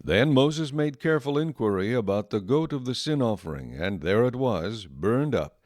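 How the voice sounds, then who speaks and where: A man narrating scripture from a script in a measured, solemn manner. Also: medium pitch, lively intonation, darkish tone, no room sound, studio quality. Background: music.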